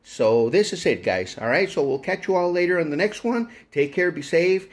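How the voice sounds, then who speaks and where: A man's voice: fairly high pitch, expressive, moderate pace. Casual man chatting in a vlog. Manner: rambling, folksy, addresses viewers as guys and man. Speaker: online reseller and channel host. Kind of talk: casual vlog chat to viewers. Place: indoor workshop.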